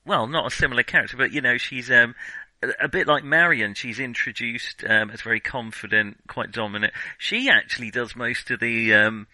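A man's voice: mid-range voice, fairly even intonation, normal speed, pushed and bright, no room sound, low-quality recording. A man co-hosting a casual film discussion, thinking aloud in a tentative way. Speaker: film discussion podcast host.

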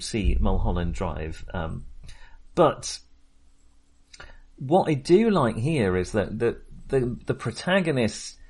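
A man with an average-pitched voice talks casually about films as a podcast co-host. He rambles a little and hesitates, working out his thoughts as he speaks. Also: darkish tone. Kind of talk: casual film discussion.

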